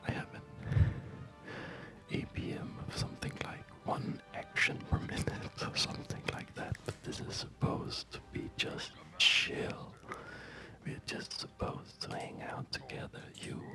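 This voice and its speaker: Whispering man, slow ASMR game commentary. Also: rough recording. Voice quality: soft breathy whisper. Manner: relaxed, unhurried, chatty. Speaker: host of an ASMR gaming channel. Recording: background game music. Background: loud game music.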